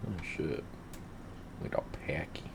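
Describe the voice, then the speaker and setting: A man with a very deep voice giving laid-back, rambling, profane commentary over his game. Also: slow, noisy background. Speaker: gamer narrating his play. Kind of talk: casual gameplay commentary.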